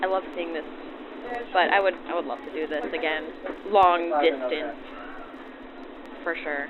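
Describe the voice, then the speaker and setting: A woman's voice: sits low, measured pace. A young woman from New Orleans chatting casually and informally in an interview, through a vintage 1940s radio filter. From New Orleans, United States. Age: young adult. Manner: chatty, informal, self-deprecating. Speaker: passenger and reenactor being interviewed. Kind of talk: casual, spontaneous interview chat. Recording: tinny old radio sound, crowd behind.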